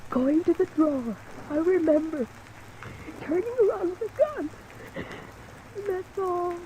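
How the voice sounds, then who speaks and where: A distraught, injured woman speaks in a high, mellow voice, halting between phrases. It is vintage radio crime drama, with rain falling faintly over the old recording. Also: a little hiss or hum, low-quality recording. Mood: anguished, dazed. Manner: faltering, halting. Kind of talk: mid-century American radio drama acting.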